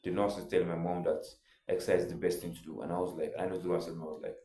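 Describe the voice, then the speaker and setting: A young man tells his own story in a loose, rambling way, leaning on filler words as he goes. His voice sits very low and sounds mellow and soft-edged. The room around him adds a clear echo. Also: level tone, very fast. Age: young adult. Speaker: vlogger. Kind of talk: casual personal storytelling.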